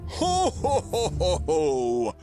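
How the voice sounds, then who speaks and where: A jovial, crude old Santa in a cartoon audio play. He booms slowly, his pitch leaping about wildly. Loud music runs underneath. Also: wildly swooping pitch, exaggerated voice. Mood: jolly, smug. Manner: booming, jovial. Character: big, jolly, crude old male Santa Claus. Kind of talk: cartoon adventure audio drama acting.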